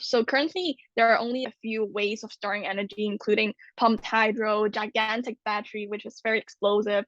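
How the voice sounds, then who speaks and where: A woman's voice: mid-range voice, a bit bright, dry recording, highs cut off. A young woman from Hong Kong co-hosting a student podcast in casual chat, with frequent "like" fillers. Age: young adult. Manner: chatty, many "like" fillers. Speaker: podcast co-host.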